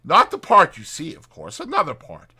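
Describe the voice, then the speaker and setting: Pompous boastful toad for a storybook, really high voice, swoops all over, indignant and wordy. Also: male voice, huge pitch swings, normal speed, pushed and bright, slightly rough, heavily acted. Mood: boastful, offended. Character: boastful, haggling, pompous toad, a male animal. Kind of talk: dramatized children's storybook reading.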